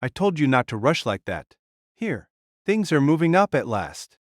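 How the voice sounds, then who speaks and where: A man's voice: a little high, sing-song, normal speed, no echo, studio quality. A man playing a fearless, hearty ancient warrior-king in an audio drama, speaking bluntly and grandly. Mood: scornful amusement.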